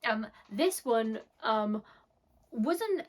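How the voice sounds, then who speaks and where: A woman's voice: on the high side, lively intonation, very slow. Chatty woman rambling about books. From Wales. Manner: chatty, rambling, many fillers; bashful, self-deprecating. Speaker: book reviewer. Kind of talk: casual book review vlog.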